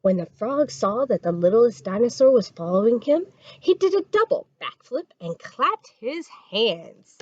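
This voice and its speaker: A woman reading a children's story aloud as a storytime reader, expressive and dramatic. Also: fairly high pitch, unhurried, highs cut off.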